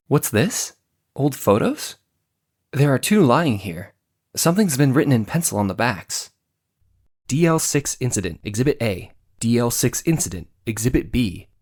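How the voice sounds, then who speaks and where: An actor voicing an exasperated but polite young male defence lawyer from a video game, with hesitant, sardonic asides. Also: mid-range voice. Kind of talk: dramatized video game dialogue.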